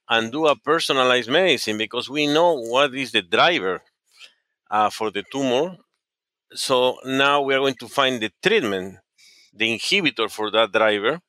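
Earnest Peruvian-accented man, bright smooth voice. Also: medium pitch, no room sound. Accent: Peruvian Spanish. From Peru. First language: Spanish. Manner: earnest, halting with frequent fillers and restarts. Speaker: oncologist guest. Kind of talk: podcast interview.

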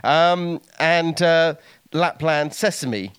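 A man's voice: on the high side, drawn-out words, smooth. A man speaking as a guest, giving an informative, explanatory talk. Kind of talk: informative talk with dramatic readings.

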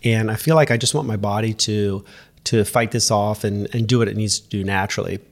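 A man's voice: lowish voice, fairly even intonation, brisk, creaky. A man hosting a podcast, stuffed up and nasal from a head cold, talking casually and directly to his listeners. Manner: talks straight to the listener, often checking in with "okay?". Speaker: podcast host and running coach. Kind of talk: casual solo podcast talk.

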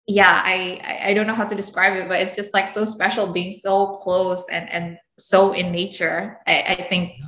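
A young woman from Indonesia chats as a podcast guest, her voice bright and clear. She tells her stories with animation and laughs often. The sound carries the echo of a reverberant room. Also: mid-range voice. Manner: animated storytelling, frequent laughs. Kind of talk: casual interview chat.

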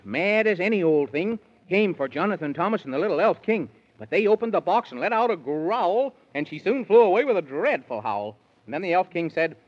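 A man's voice: fairly high pitch, slightly rough. A man narrating a 1930s children's radio serial in sing-song rhyming verse. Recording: dull 1930s radio recording.

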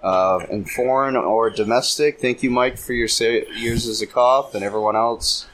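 Halting man on a podcast panel. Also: mid-range voice, steady tone, fairly bright tone, poor audio. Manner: halting, with many fillers. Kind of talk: casual chat. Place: cigar lounge.

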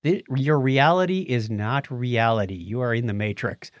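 A man's voice: mid-range voice, normal speed, no room sound, clean studio sound. A man hosting a podcast in casual chat, with a techy, wry and jokey way of talking. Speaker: podcast host.

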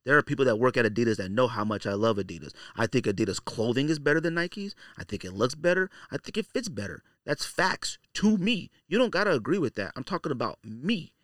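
A man's voice: mid-range voice, sing-song, fast talker, hardly any pauses, fairly bright tone, dry recording, clean studio sound. A man hosting a solo podcast, talking casually and directly to listeners in slangy, opinionated speech, repeating phrases for emphasis. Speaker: podcast host.

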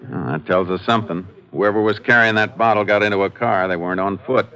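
An actor playing a calm, methodical Texas lawman in a 1950s radio crime drama, speaking in terse, deliberate, folksy phrases. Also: fairly low pitch, clean tone. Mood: businesslike, deliberate. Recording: dull, noisy old radio recording.

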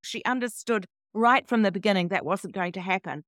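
A woman's voice: mid-range voice, fairly quick, fairly bright tone, no echo, clean studio sound. A woman hosting a book podcast, moving between interview questions and scripted announcements. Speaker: podcast host.

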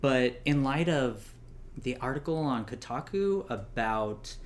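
A man's voice: medium pitch, lively intonation, takes it slowly, a little hiss or hum. A man co-hosting an interview podcast, talking casually and thinking aloud. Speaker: podcast co-host.

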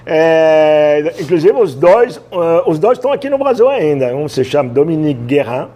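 A man in his sixties from France, a chef speaking Portuguese with a French accent, telling stories in a relaxed interview with many fillers. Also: on the high side, normal speed. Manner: storytelling, hesitant with many fillers, laughs easily. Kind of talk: casual interview talk.

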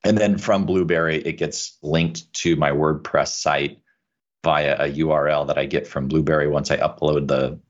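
A man's voice: very deep voice, fairly even intonation, normal speed, muffled recording. A man speaking as a lawyer and podcaster, candid and self-deprecating in a casual conversation. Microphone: USB microphone into a computer.

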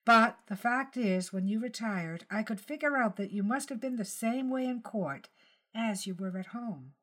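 A woman's voice: on the high side, lively intonation, moderate pace, fairly bright tone. Woman reading as a small, anxious elderly wife. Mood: hesitant, wistful. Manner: hesitant, earnest, explaining. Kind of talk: audiobook character dialogue.

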